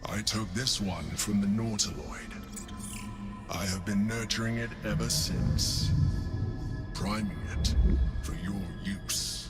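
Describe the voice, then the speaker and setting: An actor playing a calm, persuasive mind flayer mentor in a video game, solemn and coaxing in manner. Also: male voice, fairly low pitch, takes it slowly, long pauses, over loud music. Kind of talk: video game cutscene acting.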